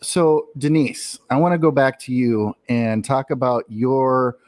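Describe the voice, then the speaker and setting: A man's voice: mid-range voice, steady tone, unhurried, darkish tone, no room sound. Male host with a dry, close sound. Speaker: show host. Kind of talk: casual interview chat.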